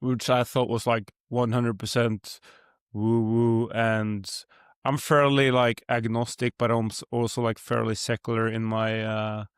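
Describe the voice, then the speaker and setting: A man's voice: medium pitch, level tone, unhurried, smooth, no room sound, studio quality. A young man from Norway with a Norwegian accent, hosting a podcast and musing in a rambling, reflective way with many fillers. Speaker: podcast host. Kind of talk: casual podcast conversation.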